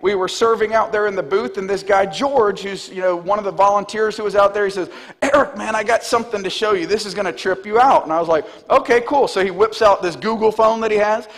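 A man in his thirties, a pastor, preaching an animated sermon on a church stage. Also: high voice, fast talker. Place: large church stage, indoors. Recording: long hall reverb.

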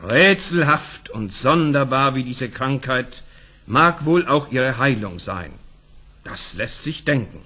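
Bright, husky-voiced stately sultan. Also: male voice, mid-range voice, animated, slow, heavily acted. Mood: grave, pondering. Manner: stately, formal. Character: dignified, puzzled male sultan, commanding and reserved. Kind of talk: German audio fairy-tale drama acting.

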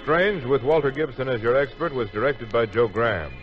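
A man's voice: medium pitch, brisk. A man announcing a mystery radio program in 1950s broadcast style. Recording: dull 1950s radio recording over music.

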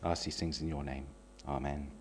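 A man in his thirties with a slightly croaky voice leads a church service, welcoming people and praying in a gentle, hesitant way with frequent ums, speaking into a stand microphone in a small church. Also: very deep voice, fairly even intonation, fairly quick. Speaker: lay worship leader. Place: small church sanctuary, indoors. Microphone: stand microphone at a lectern.

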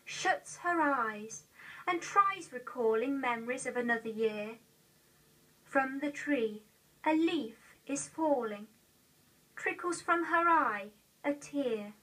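An eighteen-year-old woman with an English accent recites a poem in a measured, carefully enunciated way on an old video recording. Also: high-pitched, bright, forceful tone, recorded from a distance, rough recording. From England. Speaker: poetry reader. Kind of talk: poetry recitation. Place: indoor room, seated.

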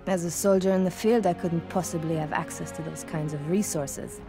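A woman's voice: lowish voice, fairly even intonation, moderate pace, music in the background, lightly acted. An actress playing a calculating young woman soldier in a game cutscene, speaking in clipped, dry, precise phrases. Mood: dry, briefing. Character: cool, calculating young female soldier tactician, dryly insistent. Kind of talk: video game cutscene acting.

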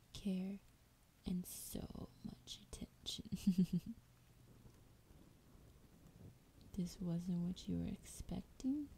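Woman acting as ancient female dragon, breathy whisper, very mellow and dark, tender, possessive, coaxing, ASMR roleplay, noisy ambient background. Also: medium pitch. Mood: amused, reassuring. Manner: slow, coaxing, affectionate. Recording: clear background noise, ambience.

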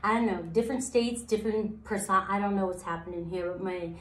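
A woman in her thirties with a slightly raspy voice, giving a casual tarot reading and rambling through her thoughts with many fillers. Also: mid-range voice, steady tone, fairly quick. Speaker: tarot reader. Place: sparse room, indoors, seated at floor level. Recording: echoey empty room.

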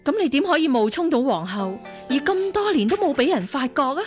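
A stern martial nun with a fairly high voice over soft music. She speaks in a measured, aloof, interrogating way, as a cold avenger in a radio drama. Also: big pitch swings. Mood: probing. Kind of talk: Cantonese radio drama acting.

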